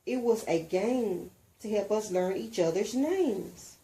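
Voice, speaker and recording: Female storybook reader, unhurried and expressive, with a soft mellow tone. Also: medium pitch. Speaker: reader, mother. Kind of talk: expressive storybook reading aloud.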